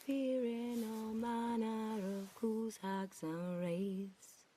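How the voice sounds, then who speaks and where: An actress playing an eerie singer, singing a slow, dark lullaby for a fantasy game. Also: female voice, fairly high pitch, little pitch movement, slight background noise. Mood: eerie, soothing.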